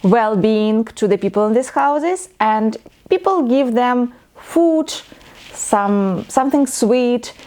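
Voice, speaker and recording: A woman in her thirties from Ukraine, with a Ukrainian accent, talking about books to camera with careful pauses and fillers. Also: on the high side, expressive, darkish tone. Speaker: book vlogger. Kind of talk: casual talk to camera.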